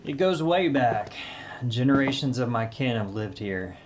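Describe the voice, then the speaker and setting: A male actor plays a weary country widower in an audio drama. He talks in a folksy, plainspoken way, in a mid-pitched male voice. He sounds like a homeowner worn down by a haunting. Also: expressive, measured pace, background noise, close to an everyday voice. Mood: hospitable. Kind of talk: audio drama character dialogue.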